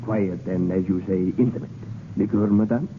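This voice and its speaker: An actor playing a formal, obsequious French restaurant waiter in 1930s radio drama style, on a noisy vintage broadcast. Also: male voice, low voice, fairly even intonation, moderate pace, phone-quality sound, lightly acted. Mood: deferential.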